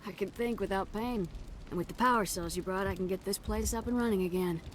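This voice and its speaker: Woman acting as a practical colony tech lady, mid pitch, video game, faint rumbling behind. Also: brisk, a little hiss or hum, lightly acted. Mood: relieved. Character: relieved, practical female colonist technician.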